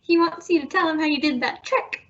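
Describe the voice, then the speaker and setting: A woman playing a wife calmly breaking news to her husband in an old-time radio comedy. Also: high voice, brisk, dull audio. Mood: coy, reporting.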